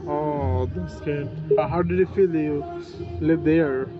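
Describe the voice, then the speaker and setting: A man in his twenties practicing conversation, speaking in halting, broken phrases. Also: on the high side, fairly even intonation, music in the background. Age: 20s. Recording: muffled with faint background noise.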